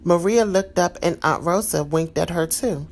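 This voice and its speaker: Low, gravelly woman reading a storybook. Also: normal speed. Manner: calm, expressive read-aloud. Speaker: storybook reader. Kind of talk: children's storybook read-aloud.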